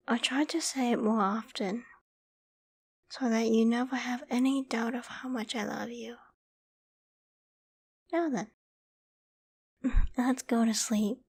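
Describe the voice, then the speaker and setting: A woman's voice: fairly high pitch, breathy edge, full character voice. A woman playing a shy, loving, easily flustered young girlfriend in an intimate ASMR bedtime roleplay, speaking tenderly and hesitantly. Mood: affectionate, sleepy. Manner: tender, hesitant, soft-spoken with stammers.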